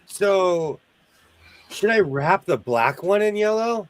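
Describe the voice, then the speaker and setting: Guy hosting a sewing stream, kinda high voice, jokey and rambly, trails off a lot. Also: lively intonation, slow, no echo. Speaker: sewing show host. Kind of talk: casual chat while sewing.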